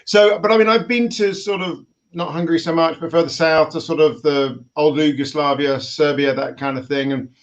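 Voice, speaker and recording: Man, English accent, high voice, chatty joking host, dull lo-fi call audio. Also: fairly even intonation, moderate pace, hardly any pauses. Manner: jokey, bantering. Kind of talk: casual chatty online interview. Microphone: video call.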